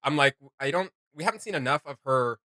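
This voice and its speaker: A woman hosting a reaction video, chatting casually and excitedly as a fan and often quoting herself. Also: low-pitched, a little fast, no echo, studio quality. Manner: animated storytelling with self-quoting.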